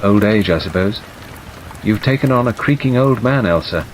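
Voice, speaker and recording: A man's voice: on the low side, normal speed, natural voice. A man voicing a self-absorbed, brusque and wry male painter in an audiobook drama. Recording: steady rain noise over the voice. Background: rain ambience.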